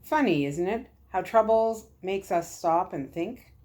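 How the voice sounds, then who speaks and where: A woman voicing a calm, kindly military lieutenant in a story, gentle and earnest. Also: medium pitch, measured pace, natural voice. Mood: gentle, reassuring.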